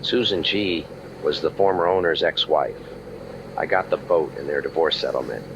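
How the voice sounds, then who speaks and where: A man acting a hardboiled waterfront detective with a very deep, low voice. He is cynical and deadpan, tossing out dry wisecracks in old-time radio noir style. The sound is muffled, with clear background noise. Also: moderate pace. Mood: dry, sardonic. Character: cynical, world-weary hardboiled male waterfront private eye.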